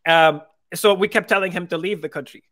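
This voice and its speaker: A man's voice: high voice, a little fast. A man in his thirties from Venezuela, telling his story as a guest in a casual interview over a video call with earbuds. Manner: animated, gestures while talking, frequent restarts. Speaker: crypto company co-founder, guest.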